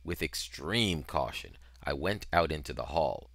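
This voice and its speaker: A middle-aged man narrating a novel in an expressive, dramatic style, with emphatic, deliberate phrasing on a headset mic. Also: very deep voice, no echo. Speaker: reader of a children's novel.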